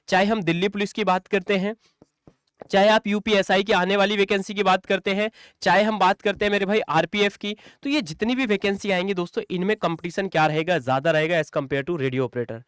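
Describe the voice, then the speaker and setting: A man speaks as a coaching teacher in a high, smooth voice. He explains things informally and emphatically, often repeating a phrase to drive it home. The sound is dry and close, with very little room. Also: no echo. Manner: repetitive, emphatic, addresses listeners as brother and friends. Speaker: online exam-coaching teacher. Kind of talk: informal exam strategy lecture to students.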